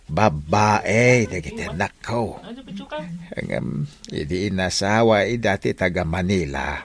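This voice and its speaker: Old ilocano widower dad, gravelly, halting, pitch wanders a lot, radio drama. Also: male voice, full character voice. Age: older. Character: humble old widowed father, gentle and hesitant.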